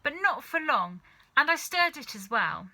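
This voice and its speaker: A woman's voice: high-pitched, sing-song, measured pace, sharp tone, pitched above the performer's own voice, heavily acted. A woman voicing an earnest, eager young boy in a children's story, matter-of-fact in manner.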